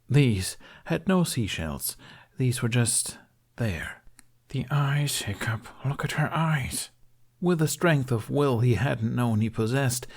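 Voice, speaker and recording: A man's voice: lowish voice, darkish tone. A man reading a scripted story as an audiobook narrator.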